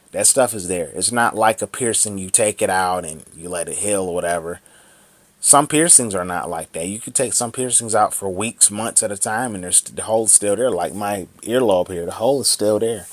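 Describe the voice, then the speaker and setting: A man's voice: sits low, no echo. Profane ranting man in his thirties. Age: 30s. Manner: angry, profane, repetitive ranting. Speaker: vlogger. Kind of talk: ranting vlog monologue.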